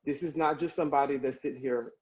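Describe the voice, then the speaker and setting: A man's voice: medium pitch, fairly even intonation, quick pace, lo-fi sound. A man hosting a podcast, setting up a question for his guest in a rambling way with fillers. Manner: warm, rambling setup with laughs and fillers. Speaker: podcast host. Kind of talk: interview introduction.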